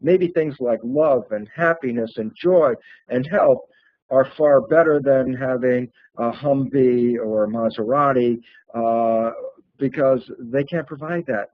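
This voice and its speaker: A man giving an animated popular-science talk in a conversational, emphatic, colloquial way, posing questions and answering them himself. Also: medium pitch, no echo, narrow, tinny audio. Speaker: author and lecturer.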